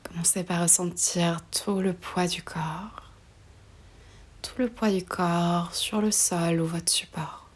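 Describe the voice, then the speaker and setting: A woman guiding a relaxation meditation in a soft, breathy voice often near a whisper, slow and soothing, with pauses between short phrases. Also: lowish voice, steady tone. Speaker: yoga nidra meditation guide.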